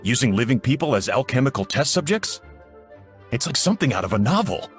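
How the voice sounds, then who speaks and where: Man as an animated, incredulous townsman. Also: mid-range voice, a little fast, faint music behind, lightly acted. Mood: disbelieving. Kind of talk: English video game voice acting.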